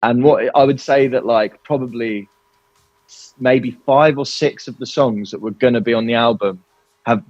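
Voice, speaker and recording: A man's voice: medium pitch, moderate pace, a little mellow, no echo, lo-fi sound. A man chatting informally and candidly in an interview as a band musician, in a rambling way. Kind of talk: casual interview chat.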